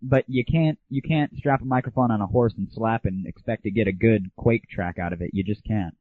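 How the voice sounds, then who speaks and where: A man's voice: fairly low pitch, fairly quick, dry recording. A man hosting an internet audio show, giving casual, opinionated solo commentary with irreverent, crude humor. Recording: thin phone-like early internet audio.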